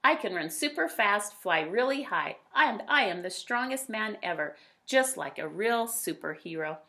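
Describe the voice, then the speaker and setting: A woman's voice: medium pitch, lively intonation, normal speed, creaky, pushed and bright. A woman voicing an imaginative, boastful little boy from a storybook, declaring his dress-up adventures emphatically. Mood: boastful, excited. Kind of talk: children's storybook character reading.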